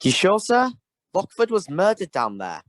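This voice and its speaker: Man acting nervous male chief guard, deferential, high pitch, dry close sound, audio drama. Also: wildly swooping pitch, normal speed, no room sound. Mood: uneasy.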